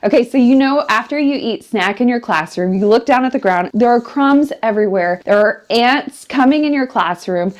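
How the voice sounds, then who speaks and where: A woman elementary teacher talking to camera, sharing practical classroom management tips in an upbeat, direct way. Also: on the high side.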